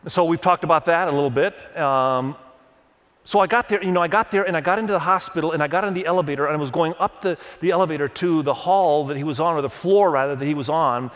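A man's voice: a little high, a bit bright. A middle-aged man who grew up in Indonesia, with Indonesian as his first language, preaching a sermon that mixes personal stories with scripture reading in an earnest storytelling manner. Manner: earnest storytelling, leans on verses, quick restarts. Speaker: pastor. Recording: long hall reverb.

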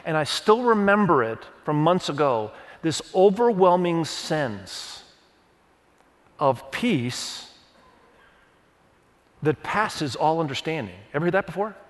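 A fairly high-voiced middle-aged man preaches in a church sanctuary, telling personal stories and reading scripture in an earnest way. Indonesian was his first language. His voice carries a long, hall-like reverb. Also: big pitch swings. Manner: earnest storytelling, leans on verses, quick restarts. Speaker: pastor.

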